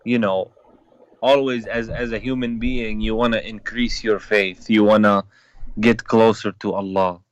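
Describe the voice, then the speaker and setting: A man's voice: fairly low pitch, flat delivery, a little slow, muffled recording. A man taking part in an unscripted religious debate, explaining his position in a hesitant, defensive way. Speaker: debate participant, caller.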